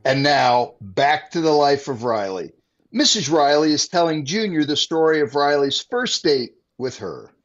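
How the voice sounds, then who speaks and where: A man announcing a vintage-style radio show with a formal, even delivery. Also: on the high side, unhurried, muffled recording.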